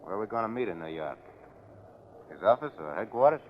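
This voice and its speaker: A man's voice: on the low side, very animated, a little fast, slight background noise. Cocky gunman, muffled old radio. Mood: probing. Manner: casual, slangy, cocky. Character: cocky, trigger-happy male gunman, newly hired. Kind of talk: 1940s radio crime drama acting. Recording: muffled 1940s radio recording.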